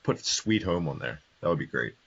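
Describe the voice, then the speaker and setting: A man with a very deep, husky voice, joking dryly and deadpan as a podcast co-host. The audio is dull and lo-fi. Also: moderate pace. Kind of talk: casual chat.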